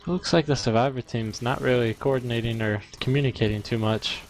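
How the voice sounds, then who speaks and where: A man's voice: mid-range voice, fairly even intonation, a little fast. A man co-casting a video game match with casual analysis and tentative, conversational explanations. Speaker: esports co-caster.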